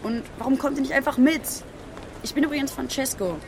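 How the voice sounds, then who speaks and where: A woman's voice: high voice, fairly quick, background noise. A woman playing a friendly, helpful young boy in a children's radio play, chatty, eager and colloquial. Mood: eager, curious.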